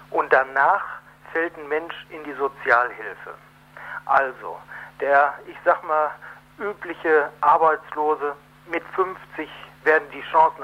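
A man interviewed live over the telephone, speaking haltingly and emphatically, rephrasing as he goes. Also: on the high side. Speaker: protest co-organiser, interview guest. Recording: muffled telephone line on radio.

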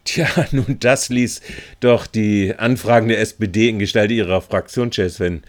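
A man's voice: on the low side, steady tone, normal speed. A man narrating a scripted local news report as a reporter, with a wry, sardonic manner.

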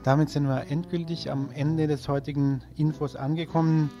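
A man's voice: a little high, flat delivery, moderate pace, warm tone, muffled recording. Casual male radio presenter over guitar music. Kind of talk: informal live radio event listings. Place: radio studio. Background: guitar music under the voice.

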